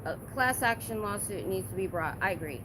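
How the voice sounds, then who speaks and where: A woman talks into her phone on a busy city sidewalk, chatting loosely with viewers and answering their comments. Her voice sits at an ordinary middle pitch and lifts sharply when she gets indignant. Passing traffic and street noise are clearly heard under her. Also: brisk. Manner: animated, rising with emphasis when indignant. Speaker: livestreaming protester. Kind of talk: casual livestream chat, reading viewer comments. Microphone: phone held in hand.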